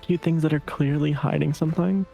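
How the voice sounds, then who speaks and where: Gravelly, higher-pitched man gaming casually. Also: fairly even intonation, a little fast, warm tone. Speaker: stream co-host. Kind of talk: casual chat and reading game choices. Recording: music behind the voice.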